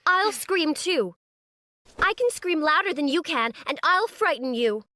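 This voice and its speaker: A man voicing a bossy, quick-tempered young girl who shouts commands in a storybook reading. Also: extremely high pitch, big pitch swings, dry recording, clean studio sound, pitched above the performer's own voice, exaggerated voice. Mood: furious.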